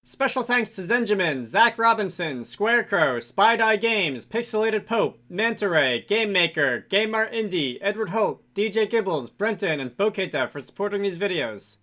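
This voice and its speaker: A man's voice: sits high, lively intonation, normal speed, hardly any pauses, sharp tone. A man hosting a game development tutorial, talking casually and unscripted, musing to himself as he works. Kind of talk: casual unscripted screen-recording tutorial, thinking aloud.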